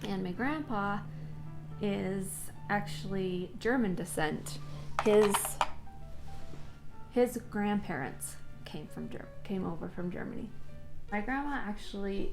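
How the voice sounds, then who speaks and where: A woman's voice: medium pitch, slow pace, over music, low-quality recording. A young woman chatting casually while she does her makeup, telling stories in an easygoing, friendly way. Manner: chatty, warm storytelling with light laughs. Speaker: vintage lifestyle vlogger. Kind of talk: casual vlog chat while doing makeup.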